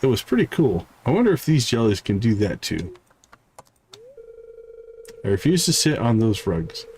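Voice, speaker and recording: A man's voice: mid-range voice, very animated, normal speed, a little mellow, husky. A man livestreaming a game, reading character dialogue aloud with stumbles and short asides. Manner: reads on-screen text aloud with stumbles and asides. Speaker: game streamer.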